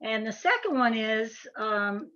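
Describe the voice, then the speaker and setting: A woman's voice: a little high, expressive, a little slow, bright, forceful tone, lo-fi sound. A woman caller with a light Southern accent mixed with New Jersey, asking earnest, slightly hesitant questions. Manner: earnest, a bit hesitant, repeats words. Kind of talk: call-in question.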